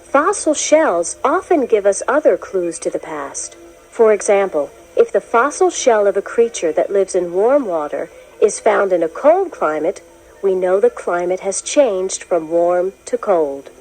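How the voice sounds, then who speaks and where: A woman reading a children's science book as scripted narration, in a clear, expressive storytelling manner. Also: mid-range voice, a little slow, soft background music, rough recording. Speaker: narrator of a children's educational read-along.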